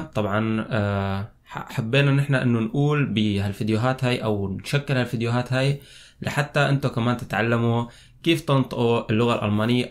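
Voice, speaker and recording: A young man, a native Arabic speaker with a Syrian accent, presenting and interviewing as host of a language video. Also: mid-range voice. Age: young adult. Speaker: host of a language-learning channel. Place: small indoor room.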